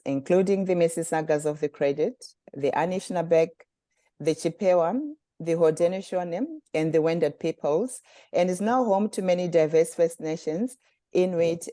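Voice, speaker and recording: Woman from zimbabwe hosting a webinar, formal and measured, lowish mellow voice, dry close sound. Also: no echo. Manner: measured, formal, reading prepared remarks. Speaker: communications director and webinar host. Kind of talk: scripted webinar introduction.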